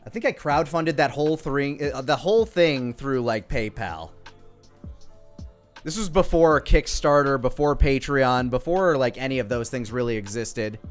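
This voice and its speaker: A man's voice: medium pitch, animated, moderate pace. Rambling man with music behind him. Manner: rambling, self-deprecating. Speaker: creator commenting on own old videos. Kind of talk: casual video commentary.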